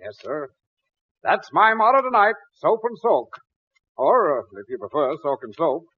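A man's voice: fairly high pitch, big pitch swings, moderate pace, breathy edge. An American actor playing a fussy, blustery middle-aged father in 1940s radio comedy, sputtering and theatrical. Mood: jovial. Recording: thin, tinny 1940s radio broadcast.

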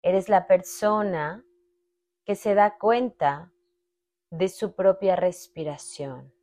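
A woman narrating a guided meditation in a calm, instructional manner with long pauses between short phrases. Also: lowish voice. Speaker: meditation guide, podcast host.

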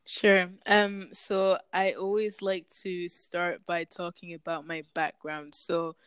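Young woman with smooth, even voice. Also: on the low side, takes it slowly, dry recording. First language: German. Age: young adult. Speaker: podcast guest, university student. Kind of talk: casual interview answers.

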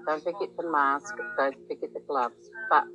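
A woman's voice: low-pitched, steady tone, normal speed, sharp tone, dull audio, soft background music. A woman from New Zealand with a New Zealand accent hosting a casual podcast chat, rambling along with many fillers. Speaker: podcast host.

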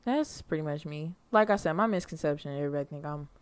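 Animated, laughing woman podcast host. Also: low voice, fast talker, clean tone, no echo. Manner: animated storytelling, laughs a lot. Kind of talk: casual chat.

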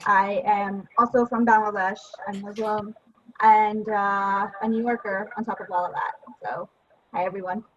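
A woman's voice: mid-range voice, steady tone, moderate pace, dull audio, a little hiss or hum. A woman from New City, introducing herself with jokes as a podcast guest. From New York City. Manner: bubbly, jokey. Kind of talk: casual introduction.

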